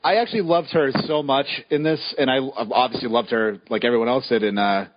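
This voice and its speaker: A man co-hosting a podcast, chatting casually and excitably with frequent stammered restarts. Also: mid-range voice, fast talker, no echo, dull audio. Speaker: podcast co-host. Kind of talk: casual chat.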